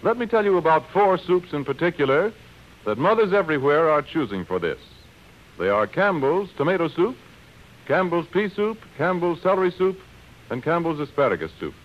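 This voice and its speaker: A man announcing in scripted 1930s radio style, presenting a drama and pitching for its sponsor. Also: sing-song, moderate pace. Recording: muffled 1930s radio broadcast, music.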